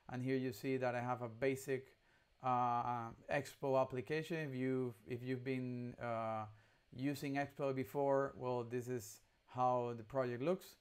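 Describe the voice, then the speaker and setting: Young guy, doing a laid-out-as-he-goes coding tutorial, clean smooth voice, kinda hesitant. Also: mid-range voice. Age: young adult. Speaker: instructor. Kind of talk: casual screencast tutorial narration. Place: indoor room at a computer.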